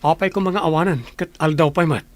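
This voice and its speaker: A man's voice: fairly high pitch, fairly even intonation, fairly quick, natural voice. A man with an Ilocano accent playing a demanding boss in a radio drama. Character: male boss searching for a woman, demanding.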